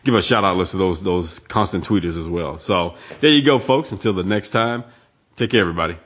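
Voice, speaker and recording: A man's voice: deep voice, a little fast, a bit bright, slightly rough. A man from Texas co-hosting a podcast, delivering casual plugs with informal, slangy talk. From Texas, United States. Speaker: podcast co-host. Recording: slightly muffled, dull podcast audio.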